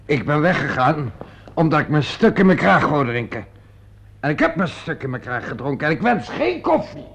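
An actor playing a drunk, distraught theatrical impresario in a radio play, blustering and emphatic. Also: male voice, fairly high pitch, sing-song, moderate pace, vocal fry, fairly bright tone, exaggerated voice. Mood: bitter, defiant.

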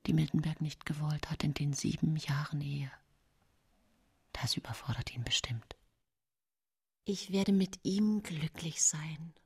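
An actress playing a scheming, sensual nineteenth-century widow in a radio drama monologue, intimate and breathy in her confiding. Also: sits low, a little mellow, exaggerated voice. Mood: dreamy, possessive. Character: scheming early-19th-century German widow, sensual and self-satisfied. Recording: music bed behind the voice. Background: music.

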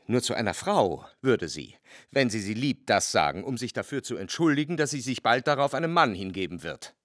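An actor playing a jealous, sorrowful lover in a radio drama, solemn, with deliberate phrasing and heavy pauses. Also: male voice, mid-range voice, very animated, no room sound, clean studio sound, lightly acted. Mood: bitter, indignant.

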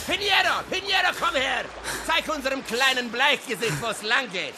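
High-pitched, barking warrior chief. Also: male voice, expressive, moderate pace, shouting, noisy background. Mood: gleeful, commanding. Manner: barking orders, whooping. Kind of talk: German radio drama acting. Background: music.

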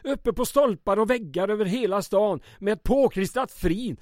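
A man's voice: high voice, lively intonation, a little mellow, dry recording, studio quality. An actor playing an anxious, persuasive small-town mayor in an old-time radio comedy drama, earnest, deferential and florid in his wording. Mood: urgent warning.

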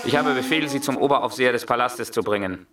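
Casting: man playing a curt official guard for historical radio drama, mid voice, roomy distant sound with clear noise. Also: mid-range voice, quick pace, close to an everyday voice. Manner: curt, formal. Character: curt palace guard in old China. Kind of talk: radio play acting.

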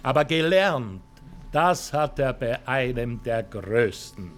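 An actor playing a jovial, gushing broadcast host with a singsong presenter's delivery in a radio drama. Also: male voice, mid-range voice, animated, slow pace, faint music behind. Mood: effusive, welcoming. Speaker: show host.

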